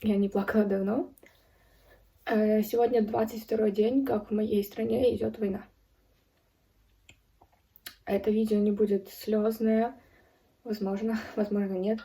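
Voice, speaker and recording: A woman in her early twenties from Ukraine giving a serious personal account as a video blogger, speaking in halting, deliberate phrases with pauses. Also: medium pitch, little pitch movement, warm tone, recorded from a distance. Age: early 20s. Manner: serious, solemn. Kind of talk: personal account spoken to camera.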